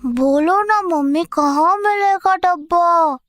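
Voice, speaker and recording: A performer playing an excited little boy in a Hindi horror audio drama, eager and insistent. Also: very high voice, slow pace, no room sound, studio quality, faint music behind, heavily acted. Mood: pleading. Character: excited, pleading little boy on a treasure hunt.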